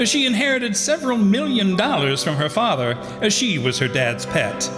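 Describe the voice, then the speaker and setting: A man's voice: high-pitched, lively intonation, moderate pace. A man playing an unruffled, dismissive and evasive adoptive father in an old-time radio drama. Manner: unruffled, dismissive, hesitant phrasing. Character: unworried, evasive adoptive father of a wealthy young woman. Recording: echoey hall with music behind.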